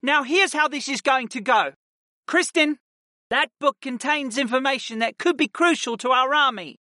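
A woman's voice: high voice, moderate pace, dry recording, clean studio sound, pitched above the performer's own voice. A narrator voicing a commanding, intimidating female ice mage who lays down the law. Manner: forceful, commanding.

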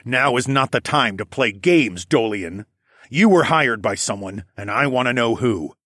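A man's voice: mid-range voice, expressive, a little slow, bright, forceful tone, no room sound, clean studio sound. A man voicing a fierce, smug dragon shifter hero with a firm, threatening manner in a fantasy audiobook. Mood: menacing.

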